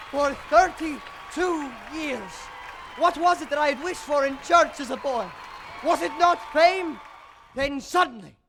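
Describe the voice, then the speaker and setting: A man's voice: very high voice, background noise. A man on a theatre stage playing a bitter, tormented old composer, declaiming grandly in a monologue. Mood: anguished, grand. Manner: declamatory, swelling from hushed to shouted. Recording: live theatre stage, music and audience behind.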